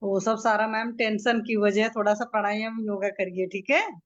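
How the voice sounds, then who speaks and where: A woman's voice: medium pitch, fairly even intonation, normal speed, muffled recording. A woman doctor taking a patient's history over a video call, asking short checking questions and giving reassuring advice. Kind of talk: casual consultation questioning.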